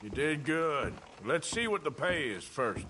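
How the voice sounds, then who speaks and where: A man playing a stern, gruff outlaw gunman in a video game, dry and commanding. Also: fairly high pitch, sing-song, unhurried. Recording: clear noise behind the voice.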